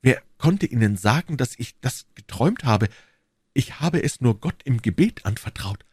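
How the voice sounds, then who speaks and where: Man voicing an anguished queen, husky and swooping. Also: mid-range voice, animated, normal speed, no room sound, clean studio sound, pitched above the performer's own voice. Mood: shaken. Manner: imploring, agitated, regal. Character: anguished, torn queen, proud yet secretly in love.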